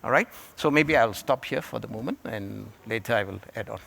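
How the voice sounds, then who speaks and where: A man from Malaysia answering as a panelist at a conference, talking in a halting way with many fillers and restarts. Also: lowish voice, creaky, a bit bright. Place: conference hall.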